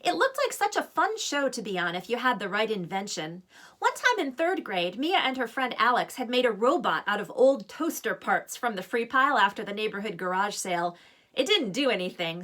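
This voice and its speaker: Woman, children's novel narration, author read-aloud, bright crisp delivery, animated intonation. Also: on the high side.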